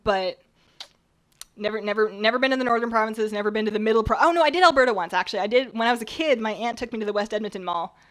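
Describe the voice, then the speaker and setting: A woman's voice: fairly high pitch, racing, a bit bright. Chatty young woman just over a cold. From British Columbia, Canada. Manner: chatty, rambling, reads chat aloud, laughs often. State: recovering from a cold. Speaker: streamer. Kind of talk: casual chat while baking on a livestream. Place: home kitchen.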